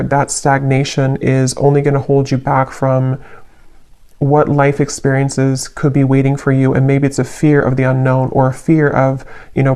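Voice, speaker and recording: A woman giving an unscripted tarot reading in a reflective, halting way, letting her phrases trail. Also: very deep voice, warm tone. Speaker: tarot reader, channel host.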